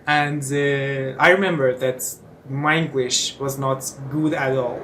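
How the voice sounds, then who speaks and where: A man in his twenties from eastern Iran with a Persian accent, talking casually about himself in a personal vlog, pausing often with fillers and restarts. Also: mid-range voice, steady tone, fairly bright tone, slight background noise. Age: 20s. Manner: hesitant, many fillers and restarts. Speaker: English teacher and student.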